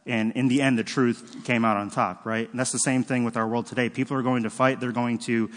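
A male pastor preaching in an easygoing, conversational manner, pausing with "um" and "uh" and rephrasing as he goes. His mid-pitched voice carries a long reverb from a large church hall. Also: flat delivery. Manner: conversational, self-correcting, frequent fillers. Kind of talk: sermon. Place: church sanctuary.

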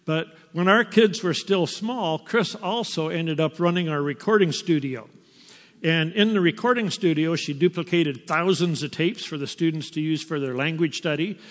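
Older man, missionary testimony, slightly high voice, slow storytelling, long church-hall reverb. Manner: slow, unhurried storytelling with pauses. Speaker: missionary teacher. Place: church sanctuary.

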